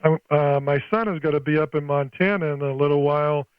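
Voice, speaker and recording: Older male radio hobbyist, folksy and a bit scattered, slightly high voice, dry close recording. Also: fast talker, smooth, dry recording, phone-quality sound. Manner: folksy, scattered, thinks aloud. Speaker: amateur radio operator making a video. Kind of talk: casual two-way radio chat.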